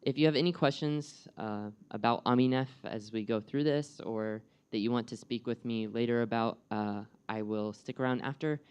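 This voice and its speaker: A man's voice: medium pitch, steady tone, moderate pace, hardly any pauses, darkish tone, clear, resonant tone. Young American man, speaker at a live presentation, friendly, asks the audience questions, resonant voice. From United States. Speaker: guest speaker and program coordinator.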